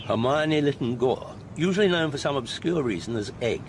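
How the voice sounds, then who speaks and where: An actor playing a polite, observant older English gentleman, dry and gently gossipy, in a radio drama. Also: mid-range voice, animated, natural voice. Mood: dryly curious. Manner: measured, polite, dryly inquisitive. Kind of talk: British radio drama acting. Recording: noisy radio play recording.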